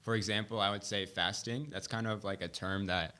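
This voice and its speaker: A man's voice: fairly low pitch, steady tone, a little fast, sharp tone. A young man hosting a casual podcast chat, laid-back and hesitant with many fillers. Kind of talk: casual conversation.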